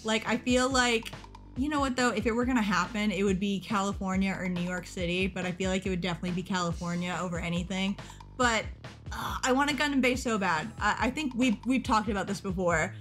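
A young woman from the United States vlogging about a model kit event, excitable and rambling, interrupting herself and laughing, a little tired. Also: medium pitch, brisk, faint music behind. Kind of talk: casual chat over a webpage.

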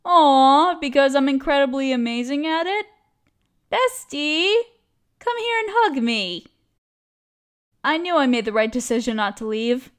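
A cute young female barista played by a woman with a high, girlish voice. She chats expressively in a casual audio roleplay, a friendly coworker who teases and complains playfully. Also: expressive, measured pace, pauses often. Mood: fond, teasing. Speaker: voice actress. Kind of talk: scripted one-sided audio roleplay, casual chat.

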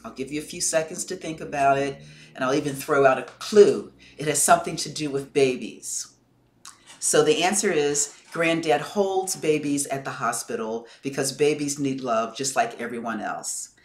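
A woman's voice: rumbling low, moderate pace. A middle-aged woman, a children's author, giving a prepared introduction and trivia question to camera indoors. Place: indoor room by a window. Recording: echoing room, camera at a distance.

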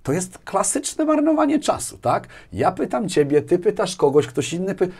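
A man in his forties talks as an interview guest in a fairly high voice. He explains things casually, repeats words as he goes and often rounds off a thought with a short questioning tag. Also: moderate pace, creaky, husky. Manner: animated explaining, repeats words, tags sentences with a questioning "right?". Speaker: interview guest, sales executive. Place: small furnished indoor studio. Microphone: lapel mic.

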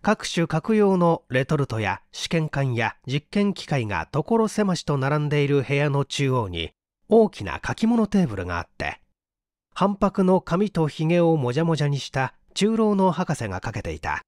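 Animated male audiobook narrator. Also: fairly high pitch, moderate pace, dry recording, clean studio sound. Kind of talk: expressive scripted story narration.

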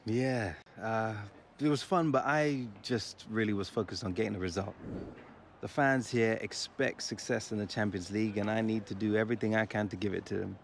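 A man's voice: on the low side, normal speed, gravelly, slight background noise. A young man playing a modest, focused star footballer in a naturalistic game cutscene. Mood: modest, easy. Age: young adult.

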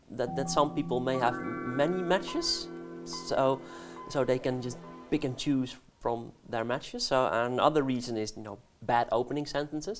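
A man's voice: mid-range voice, creaky. A man in his forties with a Dutch accent, answering interview questions in a studio, with frequent restarts and fillers. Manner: halting, many restarts and fillers, laughs easily. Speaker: guest researcher. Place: studio set. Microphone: lapel.

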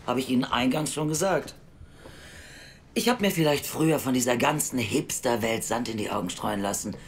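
A weary, scornful female welfare official in an audio drama. Her voice sits very low for a woman. She lectures bluntly and sardonically, as someone who has heard every story before. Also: normal speed. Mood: stern, dismissive. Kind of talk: naturalistic audio drama acting.

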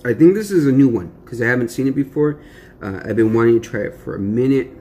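A man's voice: mid-range voice, animated, quick pace, mellow, a little hiss or hum. A young man chatting casually to the camera in an eating-show vlog, slangy and rambling with lots of fillers. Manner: laid-back, slangy, rambling with many fillers. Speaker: mukbang vlogger.

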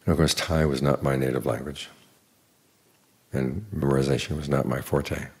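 An older man, a Buddhist monk, giving an evening dharma talk in an unhurried, plain teaching manner with dry humor. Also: steady tone, a little mellow. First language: English. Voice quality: very deep gravelly voice.

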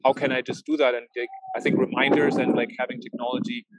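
A man's voice: mid-range voice, level tone, fast, heavy background noise. A man in his thirties from Norway and Germany, speaking hesitantly as a guest over a video call from a marina. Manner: hesitant, many fillers and restarts. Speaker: guest, tech founder. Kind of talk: casual interview talk. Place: outdoors at a marina.

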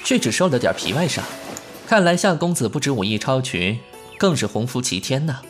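Man acting an expressive, refined young bandit leader. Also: medium pitch, a little fast, soft background music, natural voice. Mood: cool teasing. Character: refined young male bandit leader, caring, sharp-tongued. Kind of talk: Chinese period audio drama acting.

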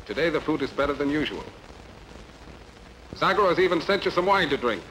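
An actor playing a loyal, earnest soldier in a dubbed sword-and-sandal film. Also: male voice, on the high side, animated, a little fast, slight background noise, rough recording. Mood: coaxing. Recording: film dub under very loud music.

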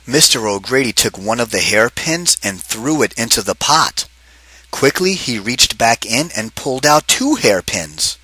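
A man reading a children's picture book aloud, expressive and lively, pausing to ask kids questions. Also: medium pitch, breathy edge, dry recording, rough recording. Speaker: read-aloud teacher.

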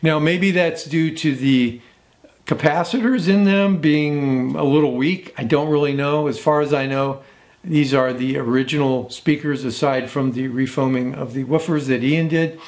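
A man's voice: fairly high pitch, moderate pace. A man in his sixties hosting a casual, unscripted product review in a matter-of-fact way. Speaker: host of vintage audio review.

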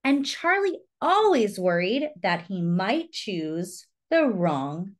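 A woman reading a children's story aloud expressively, with deliberate phrasing and simple explanatory asides to young listeners. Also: medium pitch, big pitch swings. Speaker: storybook reader and host.

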